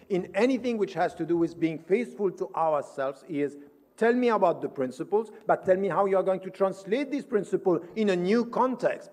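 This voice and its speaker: Male conference speaker, high-pitched voice, emphatic and didactic, argues formally, long hall reverb. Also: a little mellow. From Switzerland. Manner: emphatic, didactic, poses questions and answers them. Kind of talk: formal conference lecture, argumentative.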